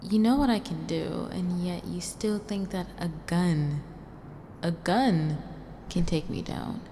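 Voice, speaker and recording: A woman's voice: on the low side, slow pace, warm tone, a little hiss or hum. A woman playing a cocky, sarcastic supervillain with a grudging code of honour in a radio drama. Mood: taunting, menacing. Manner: dry, sarcastic, self-assured. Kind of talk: first-person radio drama monologue and dialogue.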